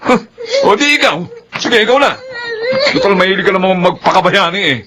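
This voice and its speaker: An actor playing a bitter, overwhelmed father who was left by two wives, shouting through tears in a radio drama. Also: high-pitched, expressive, fairly quick, a crowd in the background. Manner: shouting, tearful and resentful. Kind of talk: 1970s-style Filipino radio drama acting. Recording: noisy old radio broadcast.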